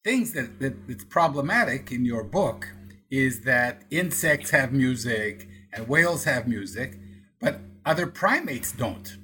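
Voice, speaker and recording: A man's voice: medium pitch, animated, unhurried, sharp tone. A man interviewing a guest in a playful, teasing way, often stumbling and restarting his questions.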